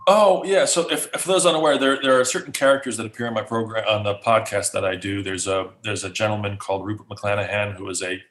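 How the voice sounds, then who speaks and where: A man, a guest speaker, answering questions casually in an animated way, restarting often. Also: on the low side, fast, few pauses. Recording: roomy echo.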